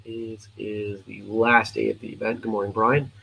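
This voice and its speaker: A man's voice: on the low side, little pitch movement, vocal fry, pushed and bright. Middle-aged male host, scratchy worn-out voice, casual and earnest, slight hiss behind. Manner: earnest, encouraging, halting with fillers. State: hoarse, scratchy voice; sleep-deprived. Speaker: host of a martial arts morning show. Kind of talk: casual live-stream chat.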